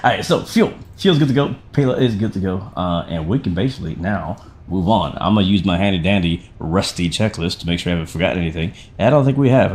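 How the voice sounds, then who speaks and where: A man with a slightly raspy voice, not fully recovered from sickness, hosting a casual flight sim livestream in a chummy, slangy way and thinking aloud. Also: low-pitched, a little mellow, slight background noise. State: getting over a sickness, voice not fully back. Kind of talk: casual stream commentary.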